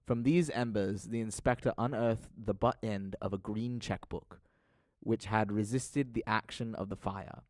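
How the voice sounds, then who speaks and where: A man's voice: fairly low pitch, measured pace, darkish tone, dry recording. A man narrating an audio drama as a scripted story, with a formal, literary delivery.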